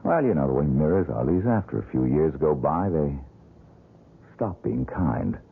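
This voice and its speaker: A gloomy broke painter, real deep voice, bitter and self-mocking, tinny old radio sound w/ faint music. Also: male voice, big pitch swings, moderate pace, gravelly, breathy edge, heavily acted. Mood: recounting. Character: brooding bitter poor male painter haunted by nightmare. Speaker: struggling painter. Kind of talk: 1970s radio drama acting.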